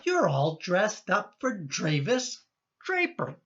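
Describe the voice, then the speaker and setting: An actor playing an exasperated, judgmental adult son in a radio play, questioning and insistent. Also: male voice, sits high, wildly swooping pitch, slow, a bit bright, slightly rough, highs cut off, exaggerated voice. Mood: disapproving.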